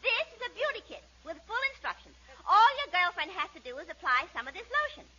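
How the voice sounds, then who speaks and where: A woman's voice: extremely high pitch, sing-song, quick pace, bright, forceful tone, exaggerated voice. An actress playing a gushing, effusive cosmetics saleslady in a 1940s radio comedy. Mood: sales pitch. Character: gushing, giggly department store cosmetics saleslady. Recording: muffled 1940s radio recording.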